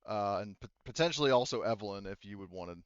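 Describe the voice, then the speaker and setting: Guy running a tabletop rpg, kinda low voice, halting chatty narration, dry slightly lo-fi sound. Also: animated, smooth, no room sound. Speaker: game master. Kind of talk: casual narration of a tabletop roleplaying game.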